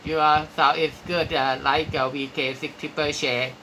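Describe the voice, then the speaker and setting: A man with a Thai accent speaking halting, courteous English as an amateur radio operator, giving call signs and sign-offs. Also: on the high side, little pitch movement, sharp tone, a little hiss or hum, poor audio. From Thailand. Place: small room at a radio desk, indoors.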